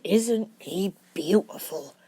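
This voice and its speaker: Storybook role, voiced by a woman: gruff big man, rustic and soft-hearted, fond and doting. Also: medium pitch, lively intonation, slow, full character voice. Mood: tender, doting.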